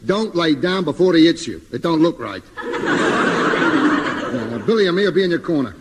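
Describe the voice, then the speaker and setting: A man's voice: fairly high pitch, lively intonation, normal speed, natural voice. Shady boxing manager acted by a man, very noisy. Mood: exasperated. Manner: brusque, commanding. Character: bossy, shady male boxing manager, scheming and impatient. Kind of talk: 1950s radio sitcom acting. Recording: very noisy, reverberant old radio recording.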